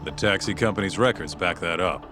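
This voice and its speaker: Gruff male private eye played by a man, low-pitched, blunt and slangy, game drama over prominent music. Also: fairly quick, close to an everyday voice. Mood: matter-of-fact. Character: gruff, street-tough private investigator, wry sidekick. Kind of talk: video game cutscene acting.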